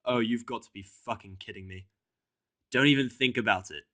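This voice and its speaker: A man's voice: on the low side, a little fast, bright, forceful tone. A man voicing a surly, cynical human character with a blunt, sarcastic, grumbling manner in a story. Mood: annoyed. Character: surly, foul-mouthed, cynical human in pony world.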